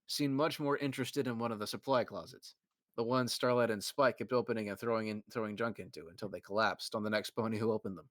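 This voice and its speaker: A man's voice: medium pitch, slight background noise. A young man casually reading a fan fiction aloud, often breaking into laughter and restarting lines.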